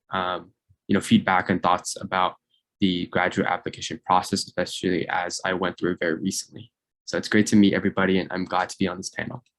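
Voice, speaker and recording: A man's voice: low-pitched, dry recording, poor audio. A man in his twenties from Myanmar introducing himself fluently and in a friendly way on an online panel. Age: 20s. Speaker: incoming PhD student panelist.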